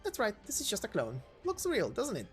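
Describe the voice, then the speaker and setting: A man's voice: high-pitched, huge pitch swings, a little fast, soft background music, pitched above the performer's own voice. A man acts out a cheery, scheming young woman from a game story. The voice sits raised and lilts widely. The manner is breezy and sly, with a teasing, lecturing edge.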